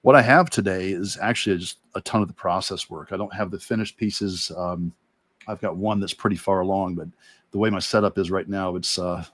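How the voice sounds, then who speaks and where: A man's voice: low-pitched, steady tone, a little fast. A man speaking casually and reflectively as a guest illustrator explaining his work on a livestream, thinking aloud as he goes. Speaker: guest illustrator and art teacher.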